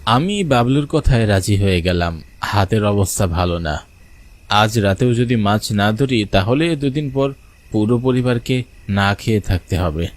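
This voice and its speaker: A low male voice, an actor's, of a poor village fisherman. He narrates his own tale for a cartoon, as a hardworking man of the countryside who also speaks his own dialogue. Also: expressive, unhurried. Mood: plain storytelling. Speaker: narrator and lead character. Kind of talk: first-person cartoon story narration with acted dialogue.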